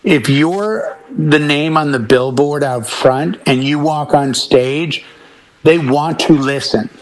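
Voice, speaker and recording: A man's voice: a little high, takes it slowly, low-quality recording. A middle-aged man chatting casually on a panel as a DJ, speaking emphatically and repeating words to drive points home. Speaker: talk show panelist, professional DJ.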